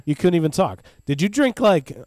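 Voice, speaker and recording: A man's voice: high voice, expressive, moderate pace, darkish tone, dry recording, clean studio sound. A man, co-hosting a casual baseball podcast and talking up local beer and bands with gusto. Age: 30s. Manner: enthusiastic, hype-man plugs. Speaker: podcast co-host. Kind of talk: casual sports podcast chat.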